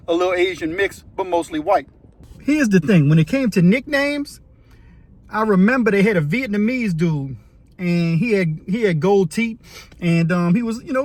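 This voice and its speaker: High-voiced New man. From West Bank of New Orleans, Louisiana. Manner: rambling, conversational, fond of "you know what I mean". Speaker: former prisoner telling his story. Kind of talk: casual storytelling monologue.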